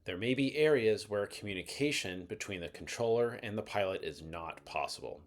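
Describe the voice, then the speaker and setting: Male explainer narrator, lower register, measured and methodical scripted read. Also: lively intonation.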